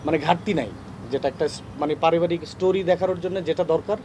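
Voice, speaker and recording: A man with a fairly high voice answers an interview haltingly as an actor. Faint outdoor noise can be heard behind him. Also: steady tone, a little mellow. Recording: faint birds and street noise.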